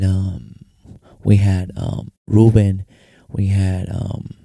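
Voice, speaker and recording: A man's voice: lowish voice, vocal fry, dark tone, breathy edge, no echo, studio quality. A young Mexican man with a Mexican Spanish accent and some American English, hosting his own podcast and talking loosely in slang, switching between Spanish and English. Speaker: podcast host. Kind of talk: casual solo podcast monologue. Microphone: close podcast microphone.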